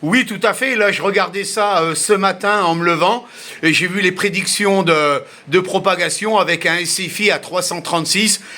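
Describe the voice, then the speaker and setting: A man's voice: high voice, moderate pace, sharp tone. A man chatting casually as an amateur radio operator, talkative and often repeating himself. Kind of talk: casual ham radio contact, unscripted.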